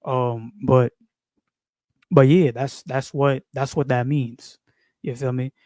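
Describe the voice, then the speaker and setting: A man's voice: medium pitch, steady tone, takes it slowly, mellow, no room sound. Rambling New man, dry close sound. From New York. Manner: rambling, repeats phrases, frequent fillers and slang. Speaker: video creator. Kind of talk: casual spiritual monologue.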